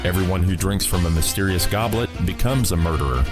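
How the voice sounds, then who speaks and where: A man's voice: low voice, animated, fairly quick, music in the background. A man presenting a radio show as host, reading scripted introductions.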